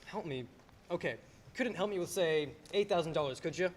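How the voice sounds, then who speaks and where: A young performer playing a troubled, wry, skeptical family man in a radio play, halting and incredulous. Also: medium pitch, natural voice. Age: teens to young adult. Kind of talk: stage radio play acting.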